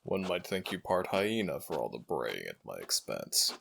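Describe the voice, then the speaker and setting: A man voicing an ancient jackal god in an audio roleplay, regal and fondly exasperated, with formal, measured speech and a deep, gravelly voice. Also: big pitch swings, background noise, full character voice. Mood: flustered, indignant.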